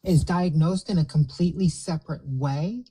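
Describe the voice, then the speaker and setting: Woman panelist, low and husky, blunt and combative. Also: normal speed, rough recording. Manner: forceful, swears, talks over others. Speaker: debate panelist. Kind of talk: heated online debate.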